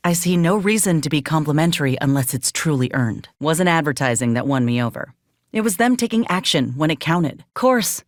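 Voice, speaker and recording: An actress playing a wounded but loyal frontier ex-soldier in a video game, guarded and wry, with a folksy, plainspoken, dry manner. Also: female voice, deep voice, no room sound. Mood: defensive, firm. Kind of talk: video game character dialogue.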